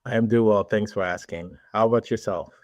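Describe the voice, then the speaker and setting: Nigerian man in his forties, podcast guest; low voice, dry close sound, measured storytelling. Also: steady tone, a little mellow, no room sound, muffled recording. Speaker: podcast guest, tech executive. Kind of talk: casual interview talk.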